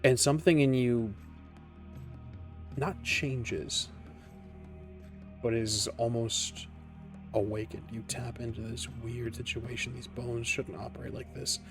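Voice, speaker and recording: A man acting as game master, improvising narration with deliberate, dramatic phrasing and pauses. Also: mid-range voice, steady tone. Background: faint music.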